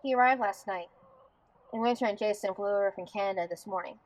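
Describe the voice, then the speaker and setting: A woman's voice: mid-range voice, fast, lightly acted, low-quality recording. A woman playing a feisty, blunt young farm woman and bride-to-be in an audio drama, forthright and sardonic. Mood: exasperated.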